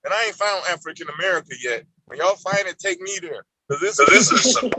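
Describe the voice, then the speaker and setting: A man's voice: high voice, animated, a little fast, noisy background. A man of about fifty on a discussion panel, speaking in a preachy way and often asking if listeners understand him. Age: around 50. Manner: preachy, repeats "you understand what I'm saying".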